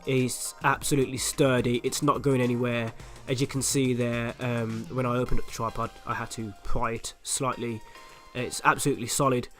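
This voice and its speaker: A man with a raspy voice, hoarse from a flu, chatting upbeat and direct to viewers as he reviews a microphone at home on a desktop USB mic. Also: mid-range voice, little pitch movement, normal speed, soft background music. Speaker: YouTube channel host. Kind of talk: casual product review and unboxing. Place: at home, indoors.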